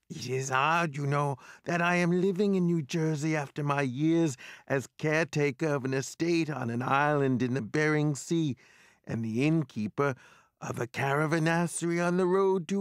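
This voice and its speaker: A pompous, food-loving French gentleman host, played by a man in a radio drama, grandly mannered and mischievous. His voice swoops wildly up and down and is edged with a gravelly fry. The sound is dry and close. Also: fairly high pitch, no room sound, full character voice. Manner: theatrical, affected French formality. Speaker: show presenter character.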